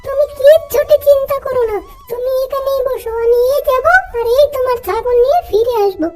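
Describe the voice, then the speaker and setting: Kind young cartoon heroine, extremely high squeaky pitch, polite, earnest, music underneath. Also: female voice, fairly quick, warm tone. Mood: eager. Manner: earnest, deferential, sweet pleading.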